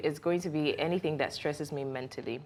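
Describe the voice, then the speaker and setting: A young woman speaking earnestly and softly as a panelist in a TV studio chat. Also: deep voice, brisk. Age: young adult.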